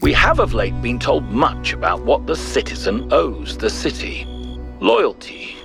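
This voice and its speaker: An actor playing a thoughtful, principled ancient Greek philosopher in a video game, giving a grave, measured, rhetorical monologue. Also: male voice, medium pitch, big pitch swings, vocal fry. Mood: questioning, pointed. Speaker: narrator of philosophical audio logs. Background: music.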